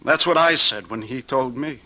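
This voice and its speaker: Casting: sophisticated young husband with a dark secret, radio drama. Forceful, piercing tone, earnest and deliberate. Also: male voice, mid-range voice, rough recording. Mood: confessing, bitter. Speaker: actor. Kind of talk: old-time radio drama acting.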